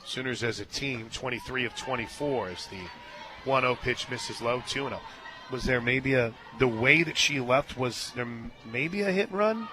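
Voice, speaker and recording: A man doing live radio play-by-play of a softball game, mixing pitch calls with folksy, joking asides. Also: normal speed. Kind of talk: live softball radio commentary with casual banter. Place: outdoor stadium press booth.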